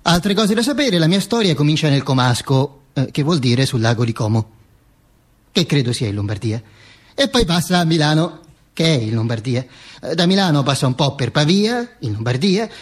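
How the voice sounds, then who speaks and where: An actor playing a dead, vain fascist dictator who narrates his own afterlife in a halting, mock-solemn stage monologue. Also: a little high, big pitch swings, breathy edge. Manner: halting, stammering repetitions, mock-solemn declaiming. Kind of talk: theatrical monologue, narrating to an audience.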